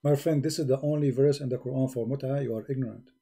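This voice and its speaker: A man's voice: medium pitch, fairly even intonation, fast, creaky, warm tone, slightly rough. A man hosting a live call-in debate, pressing a caller with repeated questions. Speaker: debate host.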